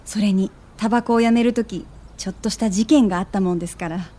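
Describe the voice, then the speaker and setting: An actress playing a refined, gentle middle-aged wife of a baseball manager, confiding politely in an audio drama. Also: female voice, mid-range voice, fast talker, lightly acted. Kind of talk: acted audio documentary dialogue.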